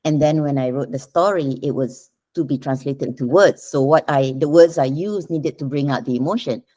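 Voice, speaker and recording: A woman's voice: low voice, mellow. A woman talking reflectively in an interview as a writer, explaining and checking with little tag questions. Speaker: writer interviewed about adapting a short story.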